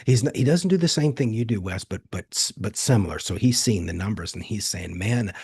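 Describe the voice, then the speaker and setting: A man's voice: on the low side, animated, brisk, creaky, darkish tone, breathy edge. An American man hosting a podcast, talking in a conversational, rambling way and laughing as he tells stories. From United States. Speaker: podcast host. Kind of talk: casual interview.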